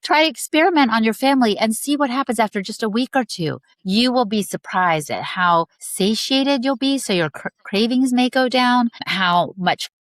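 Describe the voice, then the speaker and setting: A woman's voice: on the high side, lively intonation, normal speed, few pauses, no room sound. A woman in her sixties giving eager wellness advice in a casual podcast conversation, often speaking straight to mothers. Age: 60s. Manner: enthusiastic, advice-giving, talks to listeners as "Mama". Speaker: guest, wellness educator.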